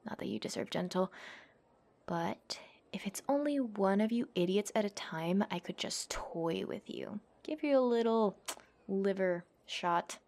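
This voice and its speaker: A woman's voice: mid-range voice, expressive, darkish tone. A woman playing a cocky young female MMA fighter in an ASMR roleplay, taunting and menacing as she protects someone. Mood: threatening, confident. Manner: taunting, swaggering, foul-mouthed, theatrical pauses and scoffing.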